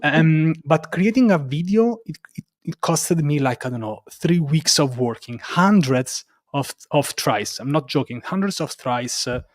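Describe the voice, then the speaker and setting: A man from Italy with a strong Roman Italian accent, a non-native English speaker, talking casually as an interview guest in a halting, self-deprecating way and repeating himself. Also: fairly high pitch, animated, no room sound, studio quality. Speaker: interview guest, developer advocate and content creator.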